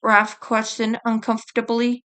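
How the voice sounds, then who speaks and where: A woman's voice: a little high, monotone, a little slow, sharp tone, clean tone. A woman reading a scripted fan fiction story aloud as its narrator.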